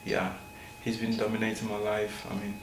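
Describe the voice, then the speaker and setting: A man's voice: lowish voice, monotone, normal speed. A young man from Ghana with a Ghanaian accent, talking casually about himself as a student interviewee. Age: young adult. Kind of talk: casual, informal talk about family. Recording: echoey room with clear noise.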